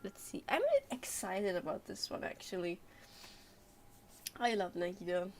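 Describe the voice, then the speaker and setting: A woman's voice: mid-range voice, wildly swooping pitch, normal speed, slight background noise. A young adult woman chatting casually on a game livestream, hesitant and rambling as she thinks aloud. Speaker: game streamer.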